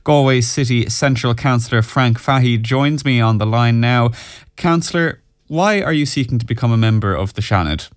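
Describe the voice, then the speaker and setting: A man's voice: medium pitch, normal speed, no room sound. A man with an Irish accent reading scripted news as a radio presenter at a close studio microphone.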